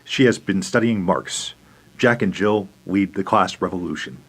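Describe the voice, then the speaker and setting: A man's voice: low voice, normal speed, a bit bright, slight background noise. A man playing a stern 1930s congressman questioning a witness in a radio theater reading. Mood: accusing.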